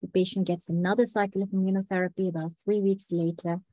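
A woman with a hoarse, mellow voice gives a methodical medical talk over a laptop mic on a video call. She speaks steadily, pausing often with small fillers. The sound is dry and close. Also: mid-range voice, little pitch movement, no echo. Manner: measured, methodical, frequent "uh" fillers. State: hoarse, recovering from flu. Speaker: clinical research fellow presenting a case. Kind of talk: online medical lecture.

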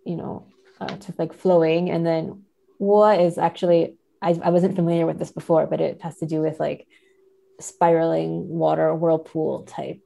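Female academic podcast guest, relaxed dark timbre, slightly low, conversational. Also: moderate pace, vocal fry. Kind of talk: casual interview chat.

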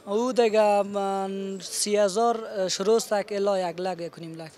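A man's voice: high voice, steady tone, a little mellow. A young man with an Afghan Dari accent, answering informally as an interviewed shopkeeper into a reporter's handheld microphone. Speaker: electronics shopkeeper being interviewed. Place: open-fronted electronics shop on a market street. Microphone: reporter's handheld microphone held toward him.

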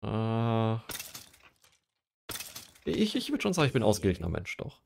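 A man's voice: fairly low pitch, wildly swooping pitch, normal speed, vocal fry, darkish tone, slight background noise. A young man streaming a game, chatting casually and joking while he reads quiz questions aloud and muses over his answers. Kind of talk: casual livestream chat while gaming.

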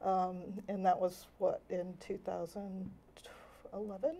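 Older woman, warm mellow tone, soft-spoken, reflective interview guest. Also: mid-range voice, flat delivery, takes it slowly. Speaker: guest, volunteer. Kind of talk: TV studio interview. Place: TV studio set.

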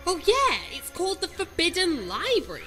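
A wry, sceptical young woman companion, played by an actress in an audio drama, teasing and full of questions. Her voice sits high and bright, swinging widely between surprise and doubt, with soft music behind her. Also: huge pitch swings, normal speed, heavily acted, poor audio. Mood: wary.

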